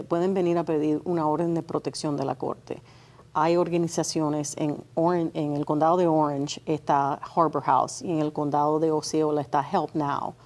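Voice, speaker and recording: A woman's voice: deep voice, darkish tone. A Cuban-born woman in her sixties, a family court judge, answering interview questions in a plain, firm, explanatory way. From Cuba.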